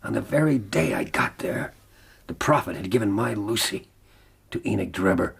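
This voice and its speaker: A desperate, weary frontiersman for radio drama. The voice is deep and full of gravel, badly husked. He speaks gravely and intensely, as one haunted by lost love. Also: lively intonation, normal speed, a bit bright, full character voice. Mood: bleak, grieving. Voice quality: gravelly, husky. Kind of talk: radio drama acting and narration.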